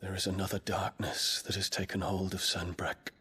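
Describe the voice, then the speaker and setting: An actor playing a proud, resolute young prince in a fantasy game, with a formal, earnest and solemn manner. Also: male voice, sits low, monotone, fairly quick, bright, forceful tone, slightly rough, heavily acted. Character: proud, noble warrior prince, grave and resolute.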